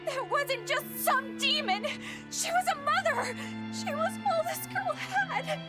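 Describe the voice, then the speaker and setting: A woman's voice: extremely high pitch, animated, slow, exaggerated voice. An actress playing a distraught, compassionate young woman in a video game, pleading emphatically. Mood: anguished pleading. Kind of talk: dramatic video game voice acting. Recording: music behind the voice.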